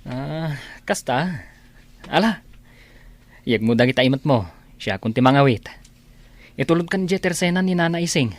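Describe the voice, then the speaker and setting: An actor playing a concerned, soft-hearted village friend in a radio drama, earnest and exclamatory. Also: male voice, fairly high pitch, animated, normal speed, long pauses. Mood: friendly, helpful. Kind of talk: Philippine radio drama acting.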